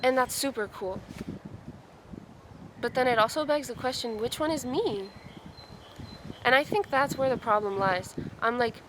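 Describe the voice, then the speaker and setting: A woman's voice: a little high, a little slow, pauses often. A woman in her twenties talking through her thoughts in a casual outdoor vlog, musing and full of "like". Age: 20s. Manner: musing, says "like" a lot. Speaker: vlogger. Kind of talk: reflective casual vlog talk. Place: outdoors in a forest. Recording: outdoor noise behind her.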